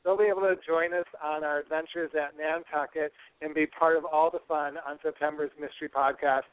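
A man with a slightly high voice hosts a casual live podcast. He talks in a chatty, run-on manner, and the sound is narrow, like a phone line. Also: fairly even intonation, a little fast, clean tone, dry recording. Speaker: podcast host. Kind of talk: casual live show chat, announcements.

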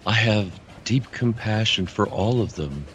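A man plays a mysterious harlequin spirit in a fantasy radio play. His voice is deep and slow, courteous and enigmatic, with compassion underneath. Some background noise sits behind him. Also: animated, a bit bright. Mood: grave, compassionate. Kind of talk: audio fantasy drama acting.

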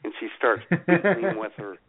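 A man's voice: medium pitch, big pitch swings, normal speed. A man co-hosting a radio show in casual banter, with a wry, joking way of talking. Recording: thin phone-like audio.

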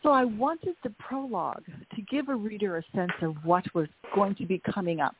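A woman's voice: mid-range voice, animated, a little fast, background noise. Middle-aged canadian woman author telling her story, repeats words a lot, tinny phone line. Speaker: author guest. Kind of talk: interview over phone.

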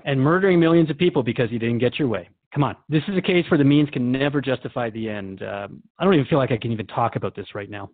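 Man as quick-talking, earnest radio interviewer. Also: mid-range voice, narrow, tinny audio, close to an everyday voice. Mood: troubled. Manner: conversational, hesitant, reflective. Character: earnest, questioning male radio interviewer, easily troubled. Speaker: radio host.

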